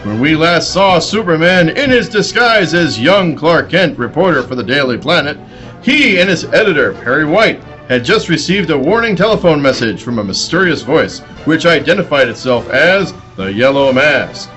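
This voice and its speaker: A man's voice: fairly high pitch, sing-song, normal speed, fairly bright tone, faint music behind. A man narrating dramatically in the style of a 1940s radio serial.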